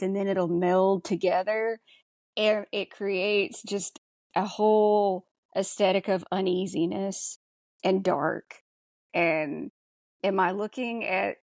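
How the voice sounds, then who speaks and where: A woman's voice: medium pitch, dry recording, studio quality. A woman co-hosting a podcast in casual chat, with an informal, emphatic way of talking. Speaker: podcast co-host. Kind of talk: casual chat about a sci-fi film.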